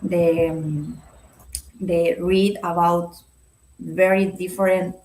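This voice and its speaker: A young Argentine woman speaking halting, non-native English with a Spanish accent, answering interview questions on a video call and laughing often. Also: on the low side, flat delivery, a little mellow, echoey room, a little hiss or hum, rough recording. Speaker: guest speaker.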